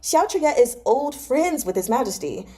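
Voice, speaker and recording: A woman's voice: high voice, sing-song, moderate pace, warm tone, voice pushed up. A woman reading a novel aloud as an eager young nobleman, wheedling and insistently coaxing a friend. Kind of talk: dramatized audiobook-style reading of a novel.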